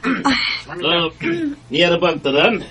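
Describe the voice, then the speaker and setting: A man's voice: a little high, animated, slow. A man acting a businesslike, apologetic workplace boss in a radio drama, announcing formally with a Bisaya Filipino accent. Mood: formal, regretful.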